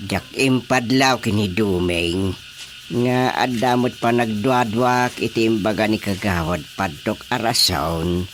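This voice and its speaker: Man acting slow-talking grumbling village chairman. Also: fairly low pitch, over music, close to an everyday voice. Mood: muttering, grumbling. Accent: Filipino, Ilocano. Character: male village chairman, world-weary, principled, grumbling. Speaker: radio drama actor. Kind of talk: Filipino radio drama comedy acting.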